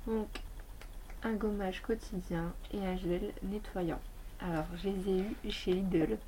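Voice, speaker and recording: A woman's voice: mid-range voice, fairly even intonation, slow pace, mellow, a little hiss or hum, rough recording. A woman beauty vlogger with a slight head cold, chatting casually and rambling through a review of her toiletries. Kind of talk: casual product review chat.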